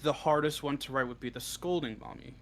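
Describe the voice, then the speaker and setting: A man's voice: medium pitch, brisk. A man answering as the guest writer in a casual interview, hesitating and thinking aloud as he talks. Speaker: interview guest, audio roleplay script writer. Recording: clearly noisy background.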